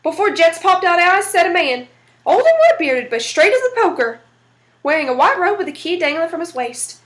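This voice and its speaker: A woman reads a folktale aloud with folksy, dramatic color. Her voice sits high. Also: big pitch swings, a little fast. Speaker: storyteller. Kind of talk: read-aloud folktale narration.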